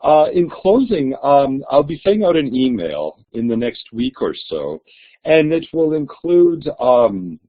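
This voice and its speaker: Webinar host man on a tinny line. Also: mid-range voice. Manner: friendly, with frequent um and uh fillers. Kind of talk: closing remarks. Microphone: video call. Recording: tinny online call audio.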